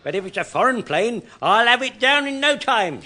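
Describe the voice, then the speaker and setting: High-pitched, blustering old British soldier. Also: male voice, moderate pace, exaggerated voice. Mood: suspicious, gruff. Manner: blustering, matey, excitable. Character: belligerent, confused old British wartime soldier on lookout. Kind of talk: radio comedy acting. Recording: faint noise on old radio recording.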